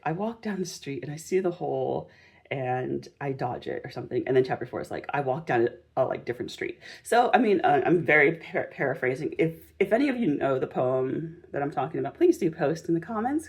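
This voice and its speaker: Woman, tarot channel host, low pitch, slightly raspy, informal, gentle, encouraging, conversational. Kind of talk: casual chatty tarot reading.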